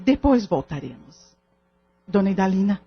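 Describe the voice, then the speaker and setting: A woman playing a calm, authoritative leader of a spiritist group in an audio drama, measured and gently firm. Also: medium pitch, slight background noise, lightly acted. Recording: dull lo-fi audio. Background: background music.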